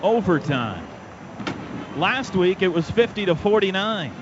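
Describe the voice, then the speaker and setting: Man, American, high pitch, excitable football commentary, short dramatic phrases, clearly noisy stadium background. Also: a little slow. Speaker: play-by-play announcer. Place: stadium radio booth. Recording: dull noisy stadium radio broadcast.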